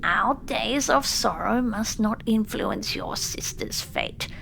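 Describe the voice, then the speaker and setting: A woman voicing a grave, gentle old grandmother with a quavery, hushed voice in an audiobook. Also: fairly high pitch, wildly swooping pitch, gravelly, slight background noise, full character voice, rough recording. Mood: grave, reproving. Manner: slow, grave speech. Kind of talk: audiobook character voice.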